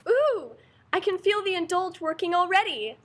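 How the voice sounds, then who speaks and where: A woman plays a young wife from an old radio soap advert. Her voice is extremely high and girlish. The delivery is scripted and gushing. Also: animated, moderate pace, noisy background. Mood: delighted.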